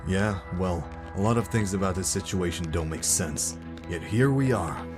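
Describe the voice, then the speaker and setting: A man playing a blunt, world-weary squad captain in an audio drama, with a dry, deadpan manner. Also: deep voice, normal speed, natural voice. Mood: dry, wary. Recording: loud music behind.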